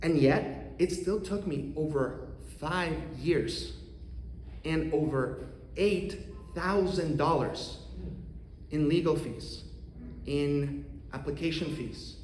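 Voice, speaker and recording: A man in his thirties from Costa Rica with a Costa Rican accent, an economics professor giving an earnest, measured prepared talk to a congregation. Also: a little high, darkish tone, slight background noise. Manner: earnest, measured, with occasional restarts. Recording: roomy hall reverb, faint ambience.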